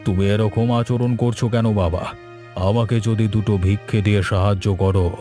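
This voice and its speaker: A man's voice: fairly low pitch, fairly even intonation, a little fast, warm tone, soft background music, natural voice. A man voicing a wandering male holy beggar in a ghost cartoon, solemn and slightly sinister. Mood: solemn begging. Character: hungry wandering male holy beggar, vengeful beneath politeness. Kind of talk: cartoon voice acting.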